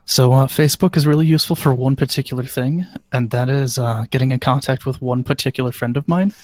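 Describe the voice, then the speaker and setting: A man's voice: medium pitch, quick pace, a little mellow, no room sound. A man chatting casually as a panelist on a podcast. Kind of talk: casual chat.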